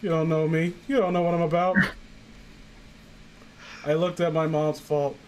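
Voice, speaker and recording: A man's voice: a little high, flat delivery, normal speed, darkish tone, a little hiss or hum. A young man casually reading fan fiction aloud as a host, breaking off for sarcastic, sweary asides.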